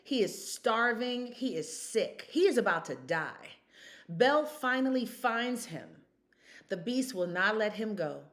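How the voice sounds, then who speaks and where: A woman in her fifties speaking as a podcast guest, emphatic and storytelling, full of rhetorical questions. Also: medium pitch. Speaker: guest, consultant. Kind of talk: podcast conversation.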